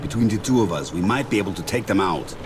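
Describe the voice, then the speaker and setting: A man's voice: on the low side, expressive, fast talker, noisy background, lightly acted. A man voicing a resentful gunman in a video game who mutters and presses his case. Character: restless male gunman, resentful and eager to fight.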